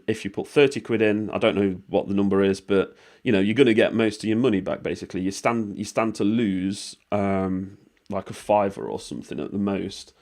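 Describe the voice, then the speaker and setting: A British man talks casually through a screen recording, his voice hoarse and worn from a cold. His pitch sits on the low side, and he rambles with plenty of ums and the odd swear word. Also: a little mellow. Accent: British English. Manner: rambling and informal, with swearing and many fillers. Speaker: vlogger explaining money-making offers.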